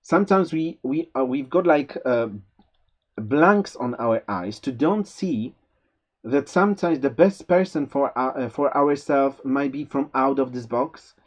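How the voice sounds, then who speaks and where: A man with a Polish accent reading a tarot forecast, giving gentle spiritual advice with many fillers and restarts. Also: medium pitch, measured pace. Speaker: tarot reader. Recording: slightly dull lo-fi sound.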